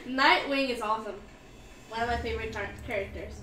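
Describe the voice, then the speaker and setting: A woman's voice: on the high side, fairly quick, bright, forceful tone, a little hiss or hum. A giggly woman co-hosting a casual live stream, reading out and answering viewer comments, recorded in a small room. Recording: roomy, distant mic. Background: faint music.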